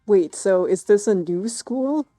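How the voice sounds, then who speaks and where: A woman's voice: medium pitch, animated, unhurried, mellow, faint music behind. A woman streamer giving casual, playful, wry reactions while playing a game.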